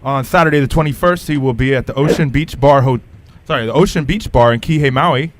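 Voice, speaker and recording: A man's voice: medium pitch, brisk. A man hosting a casual radio interview, asking questions and reading out announcements in a studio.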